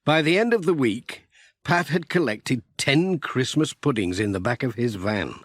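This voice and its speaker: A British man reads a children's story as narrator. His pitch is lively and his pace unhurried, in a close, dry recording. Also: no echo. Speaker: audiobook narrator.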